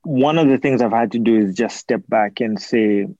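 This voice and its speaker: A young man from Zambia answers interview questions slowly and thoughtfully, pausing on fillers and restarting his sentences. His voice sits at a middling pitch. The recording is dry and a little dull. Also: warm tone, no room sound. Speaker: artist and PhD student.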